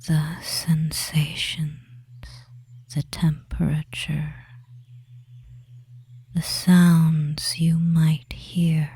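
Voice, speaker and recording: A performer voicing a devious, soothing femboy witch in a hypnosis ASMR roleplay, in a soft breathy whisper with a slow, lulling manner and long pauses. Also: mid-range voice, exaggerated voice. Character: devious soothing witch of the deep wood, feminine young man.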